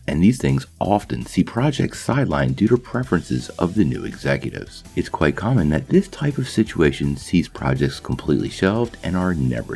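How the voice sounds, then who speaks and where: Low gravelly man, lively delivery. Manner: enthusiastic storyteller with playful asides. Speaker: host of a comic nostalgia channel. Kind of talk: scripted video narration.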